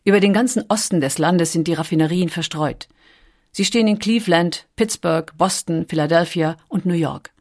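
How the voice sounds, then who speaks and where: A woman's voice: lowish voice, normal speed, a little mellow. A woman reads scripted documentary narration as an audiobook narrator.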